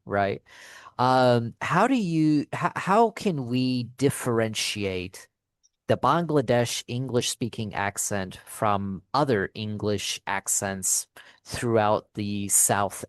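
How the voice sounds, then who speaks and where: A man hosting a casual interview as an English teacher, speaking in a halting, deliberate way and checking in as he goes. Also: fairly low pitch, smooth, no echo.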